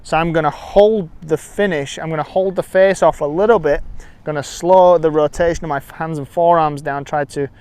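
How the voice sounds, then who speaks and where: A man with a smooth, clear voice pitched a little high teaches golf out on the course. He explains each step of the technique in an instructional way, often pausing to restate his phrases. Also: steady tone, a little mellow.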